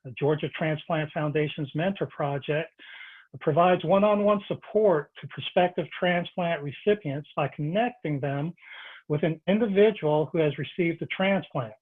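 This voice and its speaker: A moderator speaking over a video call, reading a prepared introduction in a measured, formal way. The pitch sits in an ordinary middle range. The audio is thin and tinny, like a phone line.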